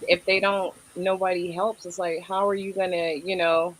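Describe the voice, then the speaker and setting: A woman's voice: on the low side, little pitch movement, moderate pace, poor audio. A woman with a Brooklyn accent co-hosting a casual livestream chat, rambling and filling nearly every phrase with "you know". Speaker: livestream co-host.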